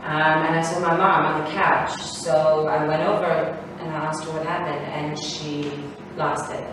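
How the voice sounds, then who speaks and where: A woman's voice: deep voice, little pitch movement, measured pace. A woman in her thirties, born in Israel and raised in South Africa, giving a rambling personal talk to parents with many fillers and restarts. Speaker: guest speaker. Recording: echoey hall from far off, faint noise.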